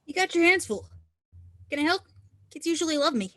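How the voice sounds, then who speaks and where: A woman's voice: high voice, animated, brisk, bright, forceful tone. A woman playing a confident, friendly eighteen-year-old androgynous snowboarder in a screenplay table read. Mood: playful, confident.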